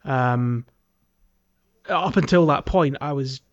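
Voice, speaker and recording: A man co-hosting a podcast in casual chat, speaking in a hesitant, halting way. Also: mid-range voice. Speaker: podcast co-host. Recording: dull lo-fi microphone audio.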